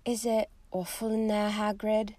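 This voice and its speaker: A woman's voice: sits high, sing-song, fairly quick, dry recording, clean studio sound, pitched above the performer's own voice. A woman voicing an earnest, bookish, caring schoolgirl in an audiobook, eager to reassure. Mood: timid. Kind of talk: audiobook character voice.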